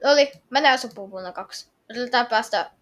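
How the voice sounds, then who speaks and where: Woman gamer, very high voice, excitable, repetitive short callouts during casual online play. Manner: excitable, repeats short phrases and exclamations. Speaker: gamer playing an online game with others. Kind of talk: casual gameplay chatter with excited shouting.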